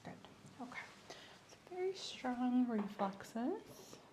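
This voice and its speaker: A woman guides a listener through a calm clinical checkup, moving between a soft speaking voice and close whispers. Her tone is mellow and unhurried, and her instructions are gentle and precise. A faint hiss sits behind her. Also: on the high side. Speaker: ASMR host playing a clinical examiner. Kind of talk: ASMR medical exam roleplay.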